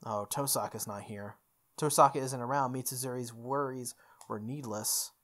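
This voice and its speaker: A woman's voice: rumbling low, steady tone, moderate pace, a bit bright. A woman reading a visual novel aloud as a gaming host, acting out the dialogue and sometimes stumbling and rereading. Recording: music playing behind the voice. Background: game music.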